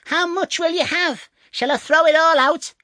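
A man acts out a thumb-sized storybook boy, pitched far up from a grown voice. He is plucky, impish and cheeky, piping his words boldly in a very dry recording. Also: normal speed, no echo, natural voice. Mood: bawling.

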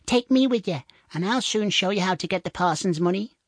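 High, tiny, cheeky boy Tom. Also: animated, fairly quick, dry recording, clean studio sound. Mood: cheeky, eager.

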